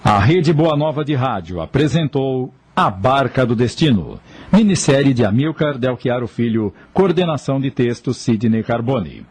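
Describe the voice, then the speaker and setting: A man's voice: medium pitch, animated, normal speed, a little mellow, slightly rough, faint music behind. A man reading a scripted radio announcement as a station announcer.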